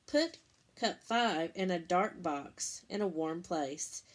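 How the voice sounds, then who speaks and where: A woman reading a picture book to children like a teacher, in simple, deliberate phrases, pausing to explain and ask questions. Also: lowish voice, expressive. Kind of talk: read-aloud storytime with explanatory asides.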